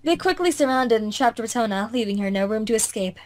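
A woman's voice: a little high, lively intonation, a little fast. A woman reading visual novel narration as an amateur, in a theatrical storytelling way with occasional stumbles. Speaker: fandub narrator.

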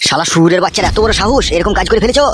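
A man's voice: sits high, expressive, very fast. A man playing a cheeky young village tough in his twenties in a comic cartoon, brash and defiant. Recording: music under the voice.

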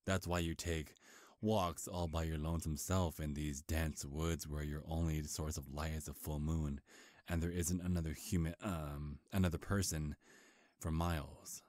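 A man playing a charming, sly male vampire in an intimate ASMR roleplay, soft-spoken, flirtatious and hesitant, with deliberate delivery. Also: rumbling low, level tone, dry recording, studio quality. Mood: smooth, flirtatious. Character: charming, sly male vampire, centuries old, courting prey. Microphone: close, dry microphone.

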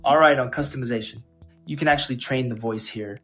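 A man hosting a tech channel, narrating a scripted software tutorial. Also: mid-range voice, quick pace. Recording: music behind.

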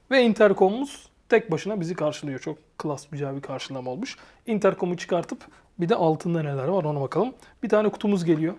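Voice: medium pitch, darkish tone. A reviewer giving a casual, explanatory product review and addressing viewers informally. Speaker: motorcycle gear reviewer and channel host.

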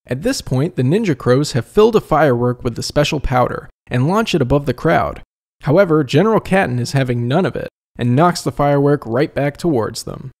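A man's voice: a little high, lively intonation, brisk, darkish tone. A man narrating a scripted review as a video host, conversational with wry asides.

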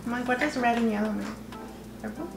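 A woman's voice: a little high, quick pace, creaky. A young woman co-hosting a casual baking vlog at home, playful and giggly, in no hurry. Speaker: vlog co-host. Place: home kitchen indoors. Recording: echoey kitchen with music over it.

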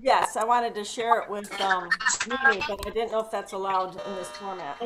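A woman's voice: medium pitch, steady tone, moderate pace, crowd noise. A woman on a video call, asking a polite, hesitant question about sharing something. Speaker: session participant. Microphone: computer mic on a video call.

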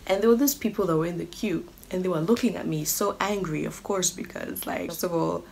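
Lowish-voiced young woman vlogging, noisy room. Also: brisk. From Kenya. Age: 20s. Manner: animated, acts out other people's remarks. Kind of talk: casual storytelling to camera. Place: small furnished living room or bedroom, indoors. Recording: clearly noisy home room recording.

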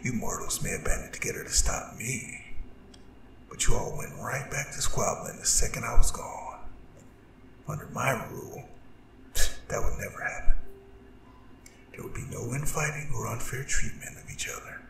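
A man playing a proud, vengeful and seductive demon king in an ASMR audio drama, speaking in a breathy, gravelly half-whisper with slow, grandiose delivery. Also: mid-range voice, sharp tone, a little hiss or hum. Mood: proud, persuasive. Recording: roomy reverb, distant, music behind. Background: music.

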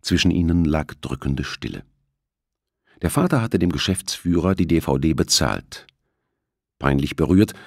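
Guy reading a thriller audiobook, super deep gravelly voice, close and dry. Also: no room sound. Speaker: audiobook narrator. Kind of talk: scripted suspense novel narration. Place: close, dry studio recording.

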